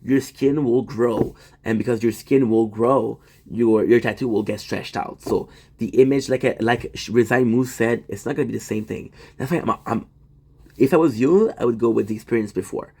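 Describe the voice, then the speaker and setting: A man's voice: medium pitch, moderate pace, dark tone, husky. Guy livestreaming from home, rambles, restarts a lot, talks to chat. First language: French. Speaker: livestream host.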